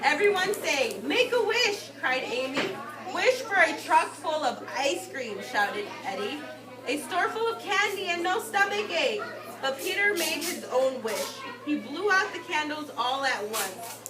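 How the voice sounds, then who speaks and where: High-pitched woman reading to kids, echoey noisy room. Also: a little slow. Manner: expressive, explanatory, addressing small children. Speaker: teacher reading to young children. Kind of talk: storybook read-aloud with simple explanations. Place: indoor classroom with carpet. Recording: echoey room, distant mic, loud children's chatter. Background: loud chatter of young children.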